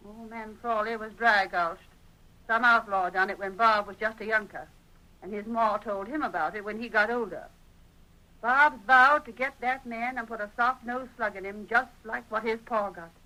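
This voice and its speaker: A high-voiced old woman of the American West in a radio western. She is plain-spoken, curious and full of folksy exclamations, with a bright, forceful edge. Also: moderate pace, rough recording. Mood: gossipy. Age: older. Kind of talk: 1940s radio western acting.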